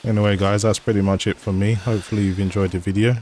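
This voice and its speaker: A man's voice: fairly low pitch, flat delivery, fast, darkish tone, slight background noise. A man hosting a gaming channel, giving casual, upbeat commentary on his gameplay.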